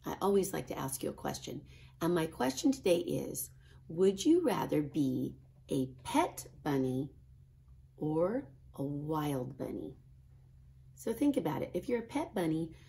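A middle-aged woman, a kindergarten teacher, reading a picture book and chatting to children in an expressive style. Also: fairly low pitch, gravelly. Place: small classroom, indoors.

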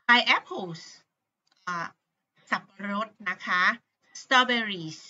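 Bright Thai woman teaching English words. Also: a little high. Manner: patient, repeats words slowly, many fillers. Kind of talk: teaching vocabulary to pupils. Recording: dull lo-fi sound.

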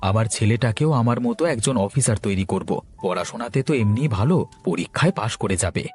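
Low-voiced brisk, doting father played by a man. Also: animated, a little mellow, faint music behind, natural voice. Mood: attentive, proud. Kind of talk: Bengali cartoon story acting.

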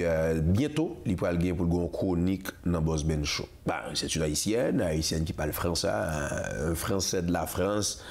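A middle-aged man hosts a television interview from a studio, speaking through a lapel mic. He teases his guest playfully and laughs a lot. His voice sits a little low. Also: darkish tone. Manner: playful, teasing, laughing often. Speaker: talk show host.

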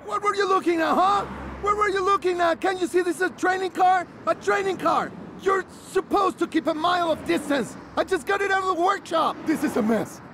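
An actor playing an excitable driving instructor who coaxes and then yells at his student, with music underneath. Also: high voice, measured pace, background noise, heavily acted. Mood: furious. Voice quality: shouting, exasperated. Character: excitable male driving instructor, quick-tempered.

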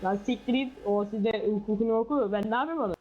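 A man's voice: high-pitched, fast, muffled recording. A man explaining things casually as a player in an online roleplay game, over voice chat.

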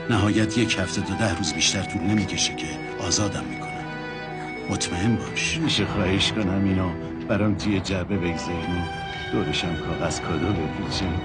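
Male actor as a plain-spoken, confident man, naturalistic TV drama, lower register, heavy score behind. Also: lightly acted. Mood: reassuring, then polite. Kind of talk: naturalistic television drama acting.

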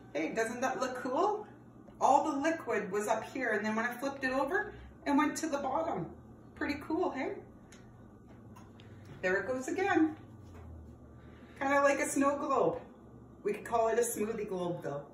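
A woman in her thirties gives a friendly, step-by-step cooking lesson for kids in a home kitchen. She is picked up by a camera across the counter, so she sounds distant and roomy. There is a clear layer of background noise behind her voice. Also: a little high. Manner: friendly, explains step by step while preparing food, calls viewers friends. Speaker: teacher hosting a cooking class for children. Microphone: camera across the counter, no visible mic.

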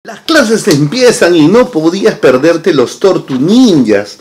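A man, chatting casually to camera as a vlog host in a room full of toy shelves, lively and full of tag questions. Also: fairly high pitch, slow. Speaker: channel host. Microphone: fixed camera at desk distance.

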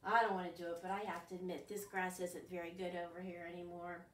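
A woman voicing a great big male billy goat, low-voiced and confident. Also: flat delivery, a little hiss or hum, natural voice. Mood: grumbling. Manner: slow, low, sure of himself. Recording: roomy echo, distant mic.

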